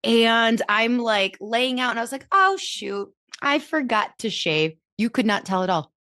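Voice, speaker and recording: A woman's voice: fairly high pitch, lively intonation, measured pace, bright, forceful tone, dry recording, clean studio sound. A woman in her thirties from Southern California hosting a podcast, chatting casually with frequent laughs and fillers. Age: 30s. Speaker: podcast host. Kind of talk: casual conversational interview.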